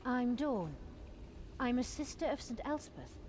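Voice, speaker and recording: A woman playing a gentle, grateful young nun rescued from captivity in a fantasy video game, speaking with earnest politeness. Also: on the high side, big pitch swings, measured pace, a little hiss or hum. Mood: sombre, earnest. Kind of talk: scripted fantasy game acting.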